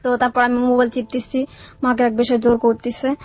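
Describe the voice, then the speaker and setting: A woman's voice: a little high, flat delivery, brisk, a little mellow. A young adult woman with a Bangladeshi Bengali accent telling her life story in a colloquial, plain-spoken way as an interview guest.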